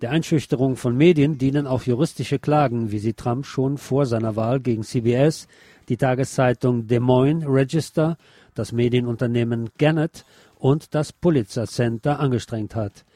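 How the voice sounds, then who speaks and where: Mellow-voiced man reading a radio report. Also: medium pitch, normal speed, no echo. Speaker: radio presenter. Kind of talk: reading a press freedom report aloud.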